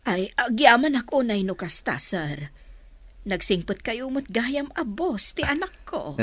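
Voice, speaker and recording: A woman's voice: fairly high pitch, big pitch swings, measured pace, no room sound. A woman playing a kindly, welcoming mother from the countryside in an audio drama. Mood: respectful, grateful. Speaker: voice actor. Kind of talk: radio-style drama acting.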